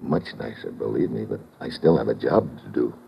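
An actor playing a tough, wisecracking American secret agent in an old-time radio drama, talking in a casual, slangy, wry way. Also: male voice, very deep voice, expressive, brisk, creaky, highs cut off, heavily acted. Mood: tender, amused. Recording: faintly hissy vintage radio recording.

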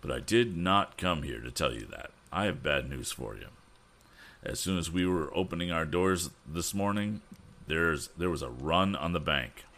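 Man reading aged bank president, weary, grave, measured, very low. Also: expressive, fairly bright tone, full character voice.

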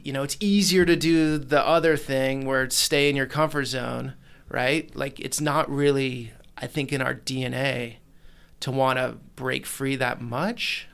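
A man in casual conversation, telling animated, rambling stories about his travels and documentary work. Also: mid-range voice, vocal fry. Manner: animated storyteller, rambling with restarts. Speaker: guest, documentary maker.

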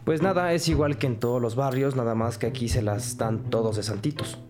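A man's voice: mid-range voice, fairly quick, over music, close to an everyday voice. A man acting a sullen, rebellious teenage boy in an audio crime drama, terse, slangy and defiant. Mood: defiant, curt.

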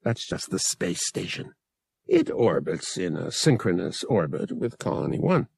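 A man voicing a brash, sardonic space smuggler pilot in an audiobook, with a gruff, blunt manner and a husky voice. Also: deep voice, wildly swooping pitch, a little slow, a little mellow, no room sound, clean studio sound, voice pushed down, full character voice.

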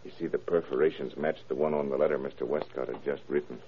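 An actor playing a shrewd, calm police detective in a 1930s radio crime drama, gruff, wry and commanding. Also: male voice, a bass voice, fast talker, slight background noise. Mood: wry, stern. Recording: muffled old radio broadcast recording.

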